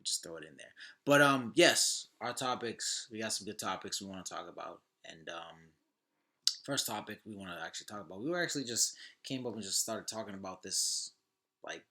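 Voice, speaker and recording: A man's voice: on the low side, animated, a little fast, pushed and bright. A man hosting a podcast in casual chat, easygoing and informal, often repeating his words. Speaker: podcast host.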